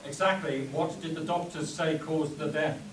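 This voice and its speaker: A man's voice: a little high, fairly even intonation, normal speed, lightly acted. Echoey stoic adventure hero played by a man. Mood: stoic, questioning. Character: Doc Savage, stoic heroic adventurer, grieving son. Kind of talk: live spoof radio play acting. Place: convention hall before a live audience. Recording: echoey, distant mic, noisy room.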